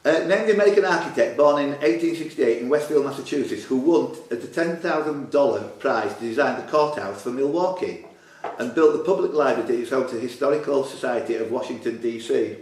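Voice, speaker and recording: A man's voice: medium pitch, a little fast. A man hosting a live pub quiz, reading out questions and teasing the contestants. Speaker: quiz master. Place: indoor club room. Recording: echoey room, clear background noise.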